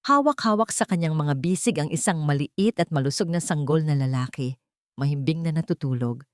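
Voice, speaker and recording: A woman's voice: lowish voice, a little mellow, no room sound, clean studio sound. A woman narrator reading a scripted dramatic story.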